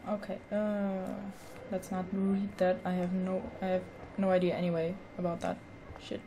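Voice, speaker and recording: Mellow-voiced woman commenting on a game. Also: lowish voice, flat delivery, normal speed, a little hiss or hum. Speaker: let's play host.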